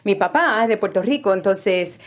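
A woman's voice: medium pitch, animated, normal speed. A woman from Spain hosting an interview, asking questions with enthusiasm in a casual chat. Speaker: interview host.

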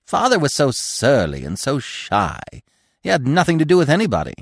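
Man putting on an excitable high-pitched chattering squirrel. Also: moderate pace, creaky, dry recording, clean studio sound, heavily acted. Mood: chatty, sociable. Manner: chattering, gushing, emphatic. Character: chatty, excitable, fussy male young squirrel.

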